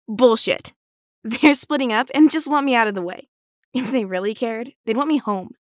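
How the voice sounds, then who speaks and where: A woman's voice: on the high side, unhurried, no echo, studio quality, lightly acted. A woman playing a hurt, stubborn teenage schoolgirl heroine in an audio drama, blunt and emotional. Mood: sulky, upset.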